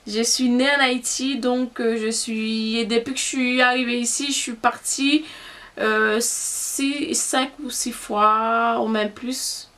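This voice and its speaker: Slow-talking Haitian woman, higher voice. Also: fairly even intonation, bright, forceful tone. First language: Haitian Creole. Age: young adult. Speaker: YouTuber. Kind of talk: casual vlog, answering tag questions.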